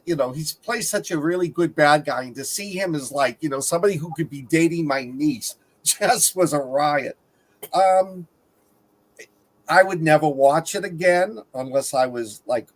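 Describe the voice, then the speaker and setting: A man's voice: fairly high pitch, measured pace. A middle-aged man giving his take on films as a panelist, casual, chatty and anecdotal. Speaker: film review panelist. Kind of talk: casual movie discussion.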